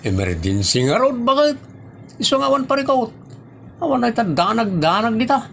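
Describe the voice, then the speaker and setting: A man's voice: very high-pitched, very animated, normal speed, gravelly, a bit bright, heavily acted. A man acts out a hard-drinking, carefree fellow with an Ilocano accent. He whines and protests with wildly swinging intonation, in audio-drama comedy style. Faint noise sits behind the voice. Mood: boastful, exasperated.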